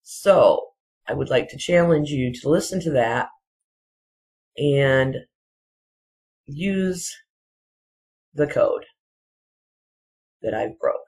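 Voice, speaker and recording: Casual solo commentator, medium pitch, unhurried and halting, insistent repetition, rambling delivery. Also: animated, darkish tone. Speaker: video commentator.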